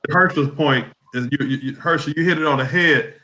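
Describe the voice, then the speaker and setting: A man speaks in a measured, deliberate way on a video call. His voice sits a little high and comes through bright and forward. The room adds a clear echo around him. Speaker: guest on training call. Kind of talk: casual explanation on video call. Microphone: webcam or laptop mic on video call. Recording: echoey room.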